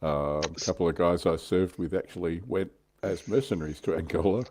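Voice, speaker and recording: A man's voice: low-pitched, steady tone, fairly quick, darkish tone, slight background noise. A man co-hosting a livestream in casual conversation, passing on comments and moving the discussion along. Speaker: livestream co-host. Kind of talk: casual chat, reading viewer comments.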